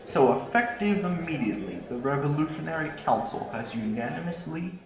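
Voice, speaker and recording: In a roomy, echoing space, a haughty, paranoid revolutionary leader holds forth , acted by a man. The voice is on the high side for a male, with formal and pompous oratory suited to a science-fiction audio drama. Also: big pitch swings, brisk. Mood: grave oratory. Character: haughty, paranoid revolutionary politician sliding into ranting. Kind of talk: scripted sci-fi audio drama acting.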